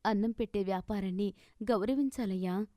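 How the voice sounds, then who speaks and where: A woman's voice: medium pitch, brisk, dark tone, dry recording, studio quality, close to an everyday voice. A woman voicing an exasperated, principled wife in a cartoon moral story, nagging and pleading in reproach. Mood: scolding, reproachful. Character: exasperated, principled wife scolding her greedy husband.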